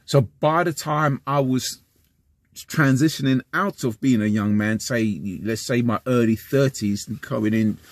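A man's voice: mid-range voice, unhurried, no echo. A man in his fifties with a West London accent, talking casually to camera from inside a van, rambling and restarting his sentences. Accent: West London English. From London, England. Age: 50s. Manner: rambling, repeats and restarts, animated gestures. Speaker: vlogger. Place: inside a parked van, small enclosed space. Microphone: camera close in front of face.

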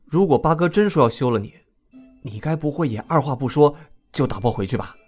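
Man acting a young prince, animated pitch, playful, teasing, breezy, audio drama. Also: fairly quick, breathy edge, soft background music. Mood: curious.

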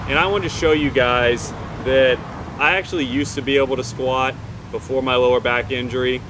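A young man in his twenties talks easygoingly to his own camera, held at arm's length. He rambles a little and leans on fillers as he goes. His pitch sits at an ordinary middle, and clear outdoor noise runs underneath. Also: fairly even intonation, normal speed. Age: 20s. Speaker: fitness vlogger. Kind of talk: casual vlog talk to camera. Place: outdoors by a river and on a lawn. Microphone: handheld camera at arm's length.

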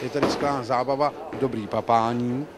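A man's voice: medium pitch, fairly even intonation. A man interviewing at a bowling event in a joking, colloquial way into a handheld mic. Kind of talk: casual bantering interview. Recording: noisy venue recording. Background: loud background noise.

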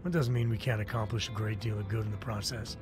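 An actor playing a gracious older mercenary patron in a video game cutscene, speaking in a measured, formal, articulate way. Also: male voice, on the low side, fairly even intonation, over music, lightly acted. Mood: earnest, measured.

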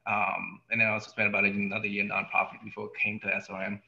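An American man in his thirties introduces himself casually, with a gravelly, very forceful edge and an extremely flat melody. The video-call sound is roomy. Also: on the low side, fast talker. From California. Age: 30s. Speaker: student panelist. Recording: roomy, slightly dull video-call audio.

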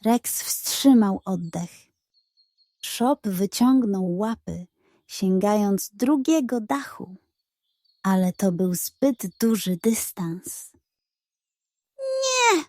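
Female storyteller for children's detective tales: unhurried, soft-toned, suspenseful, recorded dry. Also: medium pitch, no echo.

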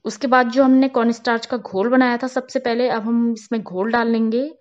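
A woman with a fairly high voice narrates a home cooking tutorial, explaining each step in a calm, instructional way. The voice-over sounds a little dull and lo-fi. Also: brisk. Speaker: cooking channel host. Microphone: voice-over, not on camera.

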